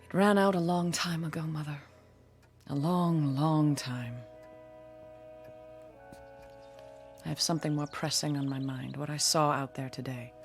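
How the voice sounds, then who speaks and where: An actress playing a bitter, haunted, hard-drinking woman in her forties in a radio drama, theatrical and sardonic with a husky voice. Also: sits low, steady tone, normal speed. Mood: brooding, haunted. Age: 40s. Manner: theatrical, sardonic, self-pitying. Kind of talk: old-time radio drama acting. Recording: radio drama with music underneath.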